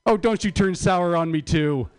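A man's voice: high voice, normal speed, clean tone, soft background music. A middle-aged man performing a stand-up comedy set in a wry, sarcastic, profane complaining manner.

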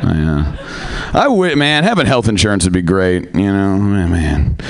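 A man's voice: low-pitched, very animated, moderate pace. A man doing a rambling, self-deprecating stand-up comedy set, laughing at his own jokes. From Norwegian-American. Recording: noisy live radio broadcast.

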